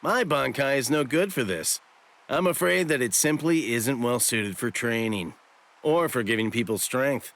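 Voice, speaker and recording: An actor playing a sly, breezy shopkeeper in an anime game dub, with a glib, theatrical, playful way of talking. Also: male voice, medium pitch, unhurried, a bit bright. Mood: matter-of-fact. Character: sly, breezy shopkeeper hiding great power, cheekily self-flattering.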